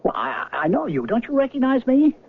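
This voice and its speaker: An actor playing a cynical, bewildered scientist in a 1970s radio drama, halting and stammering as he pleads for answers. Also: very high-pitched, wildly swooping pitch, slightly rough, narrow, tinny audio, heavily acted. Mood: insistent. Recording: thin band-limited vintage radio recording, hissy.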